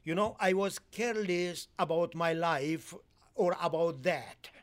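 A Romanian-born man speaking in halting, broken English with many fillers, giving a sermon testimony in a church. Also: a little high. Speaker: guest preacher.

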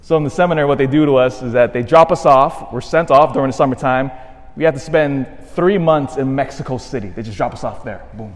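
A man, a priest, giving a homily with a storytelling manner, dropping to a hush and rising to animated emphasis. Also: mid-range voice, fairly quick. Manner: storytelling, swings from hushed to animated. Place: church. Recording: long reverb of a church.

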